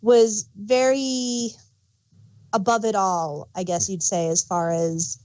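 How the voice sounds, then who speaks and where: A woman's voice: medium pitch, little pitch movement, slow, darkish tone. A woman co-hosting a casual fan discussion, rambling and searching for words as she gives emphatic examples. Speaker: podcast co-host.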